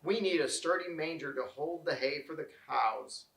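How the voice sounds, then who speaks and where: A man's voice: on the high side, moderate pace, gravelly, fairly bright tone, reverberant. A man voicing a little old man in a storybook, a practical, folksy and matter-of-fact country woodcutter.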